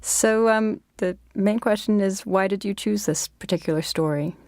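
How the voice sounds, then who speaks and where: A woman's voice: medium pitch, moderate pace, darkish tone, no echo, studio quality. A woman hosting a literary podcast, introducing authors and interviewing them as a fiction editor.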